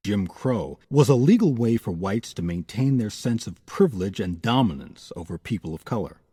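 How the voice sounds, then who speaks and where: A male narrator delivers a scripted documentary with serious emphasis. His voice is rough-edged and moves around a lot in pitch, and the sound is very dry. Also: lively intonation, normal speed, warm tone, dry recording. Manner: serious, emphatic list-making. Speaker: narrator and host.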